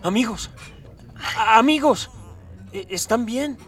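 An actor playing an earnest, determined young Mexican hero in a radio drama. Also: male voice, extremely high pitch, lively intonation, slow pace, very noisy, exaggerated voice. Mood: concerned. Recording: distant from mic.

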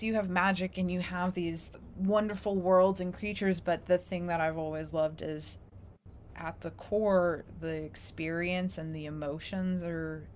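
Woman, slightly low voice, casual personal storytelling, animated manner, some background noise. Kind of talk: casual conversation, personal reflection.

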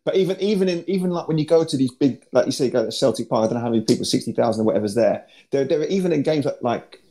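A man's voice: mid-range voice, expressive, very fast, mellow, slight background noise. A man, a former player, chatting casually and rambling with many fillers as he remembers playing. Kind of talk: casual chat.

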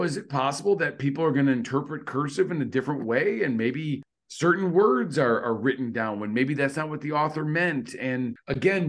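Musing, lively-pitched man co-host. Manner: musing, tentative, lots of fillers. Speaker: podcast co-host. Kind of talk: casual discussion.

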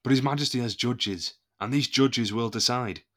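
A man's voice: medium pitch, normal speed, close to an everyday voice. A man playing a proud, loyal captain of the musketeers in a period audio drama, speaking formally and with indignant emphasis. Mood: defiant.

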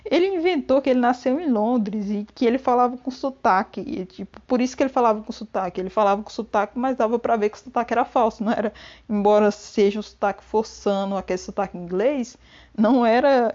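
A woman's voice: a little high, fast talker, darkish tone, muffled recording. A Brazilian woman with a Brazilian Portuguese accent, chatting casually about a book, rambling with many restarts and frequent laughs. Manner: gossipy, laughs often. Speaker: book vlogger. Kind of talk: casual chat. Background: rain and thunder.

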